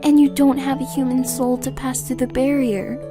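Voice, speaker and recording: A woman voicing a frail, sick human child in an amateur comic dub, weak and breathy. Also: high-pitched, level tone, normal speed, music in the background, lightly acted. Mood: earnest.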